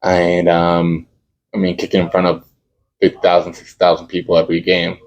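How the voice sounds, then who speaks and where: A man's voice: low-pitched, monotone. A young man, a college football kicker from Dallas whose first language is Spanish, answering interview questions casually and searching for words. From Dallas, Texas. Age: young adult. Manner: halting, searching for words with many fillers.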